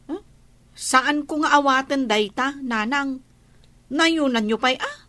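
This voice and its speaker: A woman acts out a grasping, complaining Ilocano wife in a radio serial. Her voice is high and piercingly bright. She whines and argues for more. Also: takes it slowly, exaggerated voice. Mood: demanding, whining. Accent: Filipino, Ilocano. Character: greedy, complaining married daughter-in-law demanding a bigger share. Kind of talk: radio drama acting.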